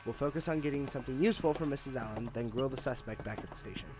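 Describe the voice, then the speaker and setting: A man's voice: medium pitch, racing, over music, lightly acted. A man acting a confident, cynical police detective with a sardonic, matter-of-fact manner in an audio drama. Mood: businesslike.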